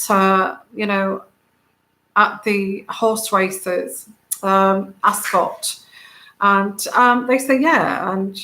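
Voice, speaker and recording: A woman with a soft, soothing voice, hosting a casual tarot livestream, rambling with many fillers and greeting viewers by name. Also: medium pitch, reverberant, low-quality recording. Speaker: tarot reader and channel host.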